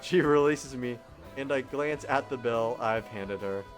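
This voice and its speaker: A young man reading a visual novel aloud on stream, animated and giggly, with joking asides. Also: mid-range voice, measured pace, soft background music. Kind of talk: casual game narration.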